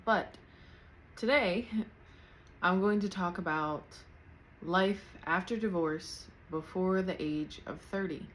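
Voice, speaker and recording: Woman, 30, podcast host, unscripted candid talk, light laughs, slightly low voice, camera's built-in mic, faint background hiss. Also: slow. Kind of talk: unscripted casual personal talk. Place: at home, in pajamas. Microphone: camera's built-in mic, external mic unplugged.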